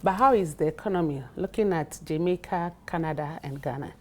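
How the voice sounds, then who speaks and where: A woman's voice: sits low, brisk. A woman hosting an interview, asking short probing questions. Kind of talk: interview conversation.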